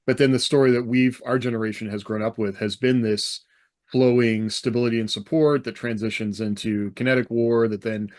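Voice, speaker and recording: A man in a casual podcast discussion, thinking aloud in halting phrases. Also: medium pitch, steady tone, darkish tone, no echo, studio quality.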